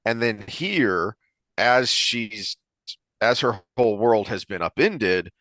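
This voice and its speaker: Low-voiced man in a dry, close recording. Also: lively intonation, slow, fairly bright tone, no echo. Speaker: podcast host discussing books. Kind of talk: conversational analytical discussion.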